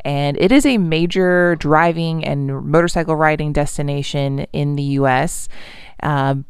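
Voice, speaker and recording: A woman narrating her motorcycle ride video in a relaxed, explanatory voice-over, with frequent ums. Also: sits low, clean tone, no room sound, studio quality. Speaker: motovlogger.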